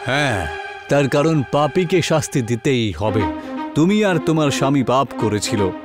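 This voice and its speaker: A man's voice: medium pitch, expressive, normal speed, music in the background, natural voice. A man voicing a stern, ominous priest, solemn and commanding, in a dramatic horror cartoon. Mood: sinister. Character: stern, ominous priest exorcising spirits, secretly vengeful.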